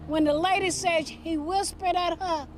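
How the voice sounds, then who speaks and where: A woman's voice: extremely high pitch, fairly even intonation, moderate pace, slight background noise. A woman interviewed as a family member, recounting memories in a storytelling way. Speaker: relative interviewed for news.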